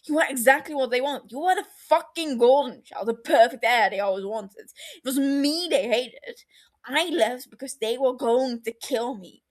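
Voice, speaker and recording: A woman voicing a defiant, exasperated runaway elder brother in an audio story, forceful, indignant and defensive. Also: high-pitched, normal speed, pitched above the performer's own voice, natural voice. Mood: exasperated, shouting.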